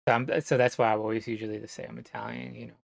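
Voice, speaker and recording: A man from New Jersey talking to camera in a rambling, casual monologue, halting and full of fillers, swearing now and then. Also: medium pitch, level tone, gravelly, no echo, clean studio sound. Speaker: vlogger.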